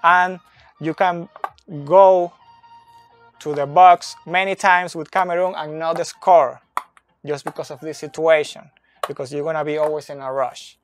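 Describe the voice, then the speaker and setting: A man in his thirties whose first language is not English, explaining soccer tactics slowly at a whiteboard, recorded on a phone camera indoors. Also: fairly high pitch, steady tone, soft background music. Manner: slow, deliberate, with fillers and restarts, pointing at a board. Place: indoor room with a brick wall and whiteboard. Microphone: phone camera, close and moving.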